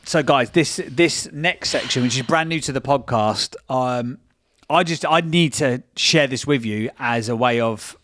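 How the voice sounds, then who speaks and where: A man's voice: medium pitch, a little slow, a bit bright, dry recording. A man co-hosting a podcast who teases his partner and swears casually. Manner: teasing, sweary banter. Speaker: podcast co-host. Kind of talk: casual chat.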